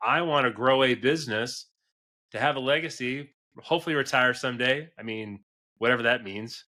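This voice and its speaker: A man around forty with a middle-pitched, bright and forceful voice. He hosts a business podcast conversationally, stressing his points earnestly and often tagging them with a quick check for agreement. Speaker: podcast host, business advisor.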